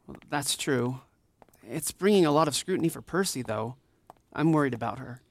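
A woman's voice: a bass voice, lively intonation, normal speed, heavily acted. A woman playing an empathetic, anxious mentor and counselor in a fiction podcast drama, sincere and self-doubting. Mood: concerned.

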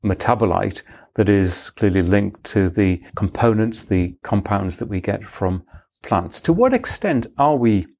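Gravelly male interviewer. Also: lowish voice, expressive, unhurried, darkish tone. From Europe. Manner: engaged, summarizing questioner. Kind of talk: interview questions.

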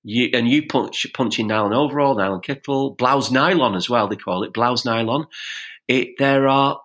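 A man's voice: medium pitch, fairly bright tone. Hesitant man talking as a podcast guest. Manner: hesitant, halting, many restarts. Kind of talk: casual conversational interview.

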